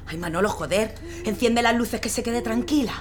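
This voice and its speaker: A woman's voice: a little high, normal speed, slight background noise, natural voice. A woman acting in an audio drama as a kind traveler who soothes a frightened child in colloquial speech. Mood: urgent.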